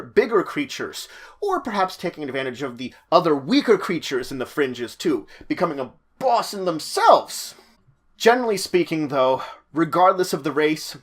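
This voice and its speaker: Guy doing explainer narration, voice a bit on the high side, dramatic pauses and little asides. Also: fairly bright tone. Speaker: host of tabletop roleplaying guide videos.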